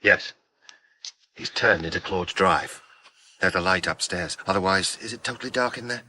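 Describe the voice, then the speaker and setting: An actor playing an earnest, worried police detective in a radio crime drama, cautious but insistent, sometimes hesitant. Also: male voice, sits low, moderate pace, pushed and bright, a little hiss or hum.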